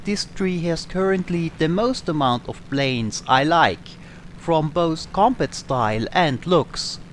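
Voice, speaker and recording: A young man from Tyrol with an Austrian accent, calmly answering viewer questions as a gaming channel host. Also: fairly high pitch, slow, a little hiss or hum. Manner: calm, explanatory. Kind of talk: Q&A over flight game footage.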